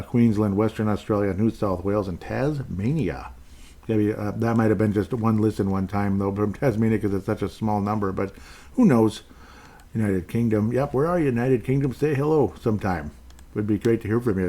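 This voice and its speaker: A man's voice: lowish voice, normal speed, warm tone. A man hosting a sports podcast on his own, chatting casually and rambling as he thanks listeners by name. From Minnesota. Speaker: podcast host. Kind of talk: casual solo podcast chat.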